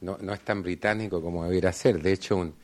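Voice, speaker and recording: A middle-aged man speaking on a conference panel in a measured, formal way, often pausing on fillers. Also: sits low, fairly even intonation, a little mellow. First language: Spanish. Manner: measured, hesitant with frequent fillers. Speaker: panel speaker, law school dean.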